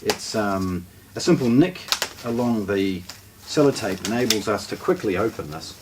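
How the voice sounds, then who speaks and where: A man's voice: on the low side, lively intonation, moderate pace, noisy background. A man with a New Zealand accent presenting a casual product unboxing, explaining things informally step by step as he handles them.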